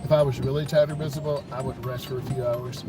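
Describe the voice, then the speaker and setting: A man with a stuffed-up cold calmly explains his driving to viewers while he drives a car in slow traffic. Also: medium pitch, recorded from a distance. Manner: calm, unhurried, explanatory. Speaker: driving instructor. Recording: music loud behind the voice.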